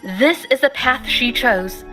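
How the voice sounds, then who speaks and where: A woman voicing a shy, gentle young painter in a video game, speaking softly and hesitantly, often trailing off. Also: sits high, animated, takes it slowly, soft background music, heavily acted. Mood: thoughtful. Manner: soft-spoken, hesitant, trailing off.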